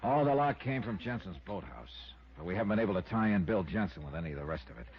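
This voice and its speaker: A man's voice: fairly low pitch, animated, fast talker, slight background noise. An American man playing a shrewd, world-weary insurance investigator in a 1950s radio detective drama, with clipped hard-boiled narration. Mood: brisk, businesslike. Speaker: lead actor and narrator. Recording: muffled old radio recording with music.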